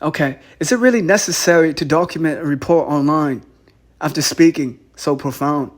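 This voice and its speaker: A man's voice: fairly high pitch, moderate pace. A man calling in, earnest and insistent, often repeating himself. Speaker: caller. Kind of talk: phone call.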